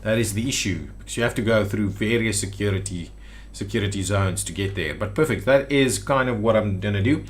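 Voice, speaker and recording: A man's voice: fairly low pitch, fairly quick, few pauses. A man giving casual gameplay commentary as a video host, thinking aloud and muttering to himself. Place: indoors at a computer.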